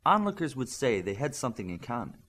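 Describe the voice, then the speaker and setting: A man's voice: medium pitch, very animated, fast, fairly bright tone. Man narrating a superhero audiobook. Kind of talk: scripted fiction narration.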